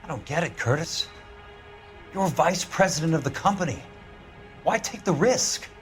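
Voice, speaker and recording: An actor playing a tough, sardonic investigator in a 1940s detective game, wry, terse and blunt. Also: male voice, medium pitch, animated, normal speed, fairly bright tone, soft background music, natural voice. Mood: menacing. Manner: wry, terse, hard-boiled.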